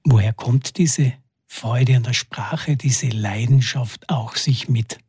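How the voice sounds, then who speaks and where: A man narrating a feature and interviewing a guest in a measured, calm way. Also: medium pitch, slightly rough, no echo, clean studio sound.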